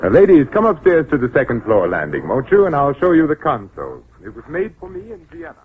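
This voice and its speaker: Suave, intense widower, tinny old radio. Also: male voice, mid-range voice, huge pitch swings, fast, a little hiss or hum. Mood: rapt enthusiasm. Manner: suave, formal, effusive, theatrical. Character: cultured, courtly, intense widower obsessed with his pipe organ. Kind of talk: old-time radio drama acting. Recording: tinny, band-limited old radio recording with music.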